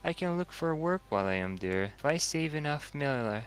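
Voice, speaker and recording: A man voicing a kindly, courteous female orphanage matron in a polite, measured manner. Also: a little high, very animated, clear, resonant tone, faint music behind. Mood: gentle, earnest.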